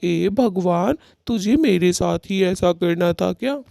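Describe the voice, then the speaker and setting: A man's voice: high voice, slow, creaky, mellow, no room sound, studio quality, full character voice. A man playing an aggrieved male cartoon bird husband who speaks in a dramatic, reproachful way. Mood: grieving. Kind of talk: Hindi cartoon story dialogue.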